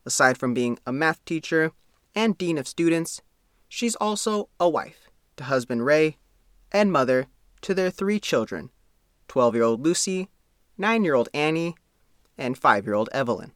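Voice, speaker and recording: A man's voice: on the high side, animated, measured pace, fairly bright tone, no room sound, clean studio sound. A man reading a scripted feature story as a reporter. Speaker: reporter and narrator.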